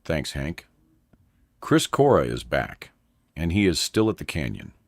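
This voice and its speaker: Grave radio anchorman, really low voice, big swings in pitch, solemn and deliberate. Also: huge pitch swings, background noise, heavily acted. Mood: grave dread. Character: grave male radio news anchor holding steady through apocalypse. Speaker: news anchor. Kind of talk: dramatized breaking news broadcast.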